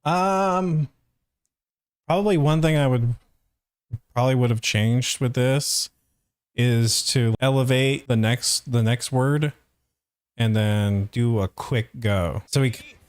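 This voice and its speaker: A man's voice: medium pitch, slow pace, pauses often, clear, resonant tone, no echo. Young man, dry close-miked casual commentary. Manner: hesitant, searching, analytical. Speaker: voice actor reacting and reviewing.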